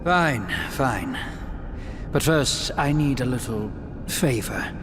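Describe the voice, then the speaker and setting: A man's voice: medium pitch, expressive, slow pace, noisy background. An actor playing a sly, mocking male schemer in a video game cutscene, arch and airily polite. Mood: smooth, scheming. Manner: airy, arch, formally polite.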